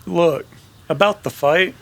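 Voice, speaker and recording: A man playing a wry, steady Old West bounty hunter in a radio western, drawling and sardonic. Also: fairly high pitch, takes it slowly, vocal fry, pitched above the performer's own voice, exaggerated voice. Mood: wry, easygoing. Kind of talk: old-time western radio drama acting.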